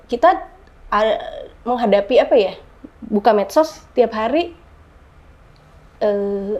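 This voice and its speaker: A woman's voice: on the high side, lively intonation, takes it slowly, dull audio. Frank middle-aged woman, slangy talk. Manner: frank, forceful, mixes slang and repeats herself for emphasis. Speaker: podcast guest. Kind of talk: casual podcast chat.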